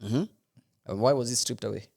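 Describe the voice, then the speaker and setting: A man's voice: medium pitch, brisk, gravelly, a little mellow, breathy edge. A man co-hosting a podcast, chatting informally about sport in a teasing way. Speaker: podcast co-host. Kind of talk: casual sports chat.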